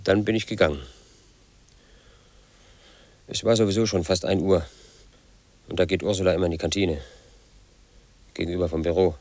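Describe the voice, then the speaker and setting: A man's voice: low-pitched, normal speed, pauses often, no room sound, close to an everyday voice. A man playing a brooding, paranoid loner. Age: 30s. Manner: flat, brooding, quietly obsessive. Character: brooding paranoid man in his late thirties. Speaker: narrator of his own story. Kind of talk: inner monologue in a radio play.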